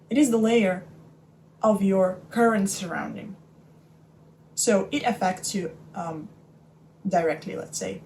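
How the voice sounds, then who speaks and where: A young woman, thinking aloud in a reflective solo talk and often pausing to search for her words. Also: mid-range voice, darkish tone, a little hiss or hum, poor audio. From Russia. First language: Russian. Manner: thoughtful, searching for words, many fillers. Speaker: podcast host.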